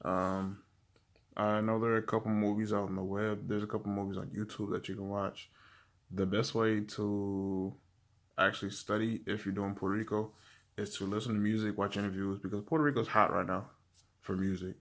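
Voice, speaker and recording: A young man giving casual language-learning tips to camera, talking in a relaxed, rambling way with many fillers and restarts. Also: on the low side, normal speed. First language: English.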